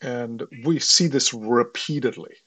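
A man with a husky, slightly rough voice at a middle pitch hosts an interview podcast. He sounds a little off the microphone in a dry space, talking conversationally. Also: a little slow, a bit bright, no echo, far from the mic. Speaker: interview host.

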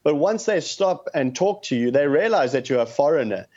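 A man's voice: a little high, expressive, moderate pace, a little mellow. Earnest South African man on a muffled line. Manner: earnest, impassioned. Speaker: guest. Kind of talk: phone-in interview.

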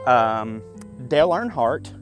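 A man's voice: medium pitch, animated, slow. Southern man, 30s, mid-range voice, loose profane storyteller; light music underneath. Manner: chatty, profane, self-deprecating, frequent fillers. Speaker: podcast host. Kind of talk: casual rambling monologue.

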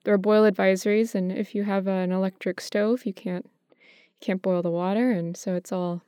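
An American woman co-hosting a podcast, explaining things in a measured, conversational way. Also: medium pitch, steady tone, dark tone, clear, resonant tone, no room sound, studio quality. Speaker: podcast co-host.

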